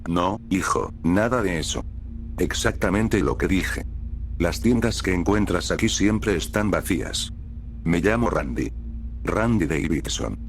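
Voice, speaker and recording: A man's voice: low-pitched, unhurried, slight background noise. A man voicing a stony, indifferent middle-aged mountain man in a horror story, speaking in terse, folksy phrases. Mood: stony warning. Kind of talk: acted dialogue in horror storytelling.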